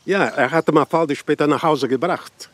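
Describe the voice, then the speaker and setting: A man playing a stern, proud old Portuguese immigrant grandfather in a radio crime drama, curt and husky. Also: fairly high pitch, normal speed, no room sound, close to an everyday voice. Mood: guarded. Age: older. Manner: curt, authoritative.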